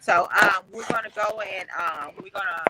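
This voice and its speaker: A woman's voice: mid-range voice, normal speed, over a noisy crowd, rough recording. A woman hosting a casual livestream on her phone, talking in a folksy way with many fillers and restarts. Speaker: host.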